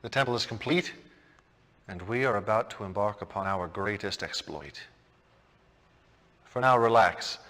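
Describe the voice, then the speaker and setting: An actor playing a grandiose, menacing cult leader in a video game cutscene, with a theatrical, deliberate, commanding delivery. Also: male voice, fairly low pitch, fairly bright tone.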